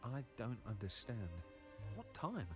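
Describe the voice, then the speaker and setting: An actor playing a curious, gossipy family member in a video game, chatty and inquisitive. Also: male voice, medium pitch, big pitch swings, a little slow. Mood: nosy, puzzled. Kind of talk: scripted video game voice acting. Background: game music.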